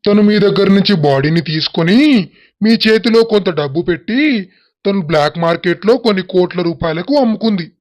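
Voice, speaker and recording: A man's voice: sits high, a little fast, darkish tone, close to an everyday voice. A man playing a stern, confrontational male relative in a cartoon moral story, questioning others. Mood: probing. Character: stern, accusing male relative exposing a family crime. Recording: dull, narrow-band voice track.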